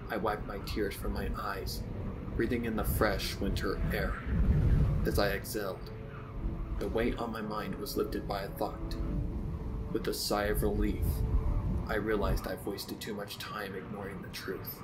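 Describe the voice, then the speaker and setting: A man's voice: lowish voice, little pitch movement, measured pace, heavy background noise, natural voice. A man voicing a sorrowful, reflective pony widower in a solemn, theatrical fan fiction reading. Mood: deep grief. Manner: solemn, theatrical, anguished delivery. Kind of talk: dramatic fan fiction narration over music.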